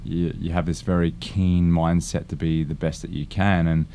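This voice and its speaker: A man with a very deep, dark voice co-hosts a casual fitness podcast. He speaks hesitantly, pausing often on ums between thoughts. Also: level tone. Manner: hesitant, halting with many ums. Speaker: gym co-founder and co-host.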